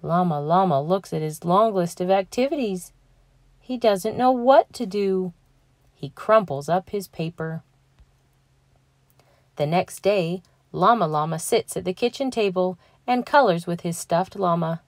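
A young adult woman reading a children's picture book aloud, gentle and clear, lifting her voice for the characters. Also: lowish voice, animated, a little slow, dry recording. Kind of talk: read-aloud storytelling.

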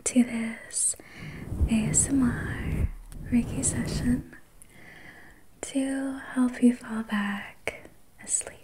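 A woman whispering softly and breathily as an ASMR host, guiding relaxation in a soothing, gentle, unhurried manner. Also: a little high, steady tone, low-quality recording. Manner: soothing, slow, gentle personal attention. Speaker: ASMR host and reiki practitioner.

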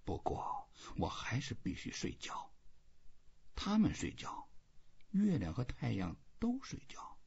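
A man voicing a weary, stubborn old fisherman in an audiobook, talking to himself slowly and half under his breath. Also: mid-range voice, huge pitch swings, gravelly, darkish tone, breathy edge, whispered, lo-fi sound, voice pushed up, full character voice. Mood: self-urging.

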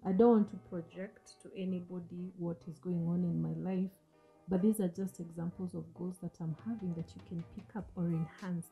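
A woman's voice: fairly low pitch, vocal fry, dark tone, husky, low-quality recording. A woman in her forties, hosting a motivational talk in an earnest, coaching manner and asking the listener questions. She speaks into a studio mic in a small room, and English is not her first language. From Africa. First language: an African language. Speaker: host. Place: small indoor room, seated on a sofa. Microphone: studio mic on a stand in front of her.

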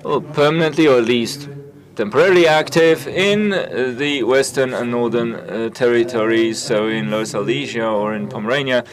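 A man giving a simultaneous interpretation of conference talks, halting and full of filler sounds and restarts. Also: medium pitch.

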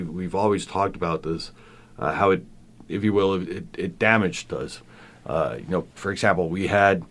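A man in his fifties from Argentina, raised in Venezuela, with a Venezuelan Spanish accent, talking as a podcast guest in a thoughtful way, with many pauses and restarts. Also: low voice. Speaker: guest, professor. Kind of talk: casual podcast interview.

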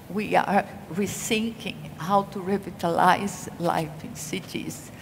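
An older woman speaking on a conference panel in a measured, deliberate way, stressing key points. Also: medium pitch, vocal fry, background noise. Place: large conference hall stage. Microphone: headset. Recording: reverberant hall, faint ambience.

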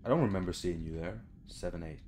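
A man's voice: sits low, fast talker, a little mellow, slight background noise. A young man giving casual, sweary gameplay commentary in an informal way. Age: young adult. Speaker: gaming video creator. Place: small bedroom, indoors.

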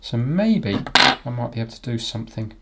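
A man's voice: mid-range voice, sing-song, moderate pace, very noisy. A middle-aged man giving casual, informal commentary, thinking aloud as he works on a repair. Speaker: repair video host. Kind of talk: casual hands-on commentary while working.

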